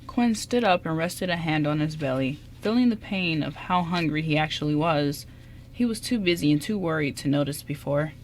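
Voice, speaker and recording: A woman's voice: deep voice, expressive, moderate pace. Woman narrating radio drama, faint noise. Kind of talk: scripted story narration for amateur radio drama.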